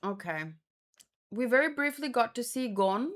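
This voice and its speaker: A woman's voice: fairly high pitch, lively intonation, moderate pace, fairly bright tone. A woman giving casual reaction commentary as a host, thinking aloud in a musing, hesitant way and often repeating words. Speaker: host of a manga read-along.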